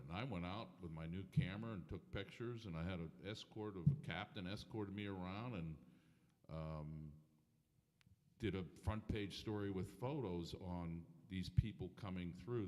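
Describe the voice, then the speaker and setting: An older man from Pennsylvania with a very deep, low voice tells a story in a measured, plain way. He speaks on a panel, and the sound carries long hall reverb. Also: darkish tone. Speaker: panelist, former sportswriter. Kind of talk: personal anecdote on a panel. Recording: hall reverb from a lecture room.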